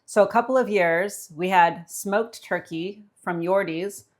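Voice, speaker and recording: A woman's voice: mid-range voice, a little slow. An American woman co-hosting a cooking show, chatting casually and conversationally as she explains things step by step. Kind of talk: casual chat while demonstrating cooking.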